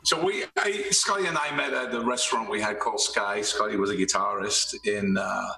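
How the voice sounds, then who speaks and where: A man's voice: lowish voice, a little fast, creaky, sharp tone, roomy sound, far from the mic, a little hiss or hum, poor audio. A man hosting a podcast, chatting in a casual, upbeat and complimentary way. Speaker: podcast host. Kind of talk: casual interview chat.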